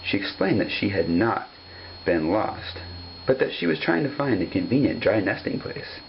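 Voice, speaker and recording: A man's voice: lowish voice, sing-song, normal speed, vocal fry. A man narrating a children's story from the page, on a dull home recording with some hum. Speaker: storybook reader.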